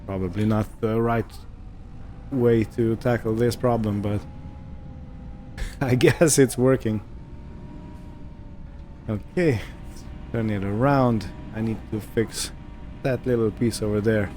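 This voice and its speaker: A man's voice: lowish voice, a little slow, pauses often, a little mellow, faint music behind. A man giving casual, musing gameplay commentary, hesitant and thinking aloud as he goes. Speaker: gaming video host.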